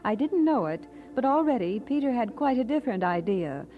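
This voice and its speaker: A woman's voice: a little high, very animated, fairly quick. An actress playing a devoted young woman in a radio drama, speaking in a poised, sentimental, literary manner. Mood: wistful, fond. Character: devoted young bride-to-be, bright, gently teasing, reflective. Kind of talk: radio drama acting and reminiscing narration. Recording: dull vintage radio drama, music behind.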